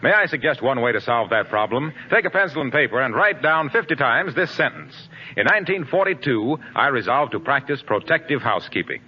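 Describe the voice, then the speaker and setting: A man's voice: mid-range voice, lively intonation, fairly quick, slightly rough. An American man working as a radio announcer, reading a 1940s advertisement in a polished, persuasive style. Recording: muffled 1940s radio broadcast.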